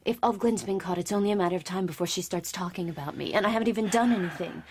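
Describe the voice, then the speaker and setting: An actress playing an anxious, guarded captive handmaid in an audio drama, in dialogue and intimate inner monologue. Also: female voice, lowish voice, racing, slight background noise, natural voice. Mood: panicked.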